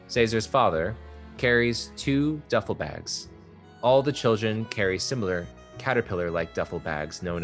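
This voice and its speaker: A man calmly reading stage directions aloud at a play table read. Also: lowish voice. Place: art exhibition theater area.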